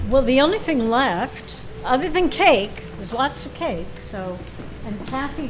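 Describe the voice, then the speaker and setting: A woman with a slightly high voice chairs a meeting, speaking off the cuff. She goes very slowly, halting and restarting as she rambles. There is light noise behind her in the live recording. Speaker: club leader chairing the meeting. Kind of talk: informal unscripted remarks to a gathering.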